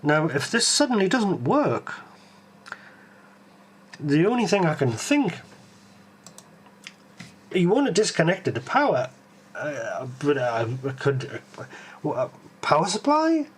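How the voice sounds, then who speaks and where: Halting Geordie man, slightly high voice. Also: wildly swooping pitch, a little hiss or hum. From Tyneside, England. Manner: hesitant, full of ums and restarts, musing aloud. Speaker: live-stream host. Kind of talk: live tech stream, thinking aloud and answering chat.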